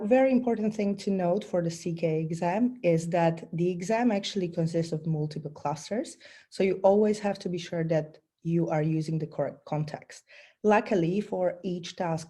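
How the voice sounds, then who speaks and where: A woman with a lowish, mellow voice, hoarse from a bad cold. She presents a technical talk over a video call and walks through each step earnestly, pausing on ums and clearing her throat.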